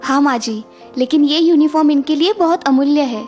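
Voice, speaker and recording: Young dutiful wife, played by a woman, high sweet voice, earnest, melodramatic, cartoon, music behind. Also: normal speed, a little mellow, close to an everyday voice. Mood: reassuring, happy. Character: devoted, determined young wife, gentle and dutiful. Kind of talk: Hindi moral-story cartoon dubbing.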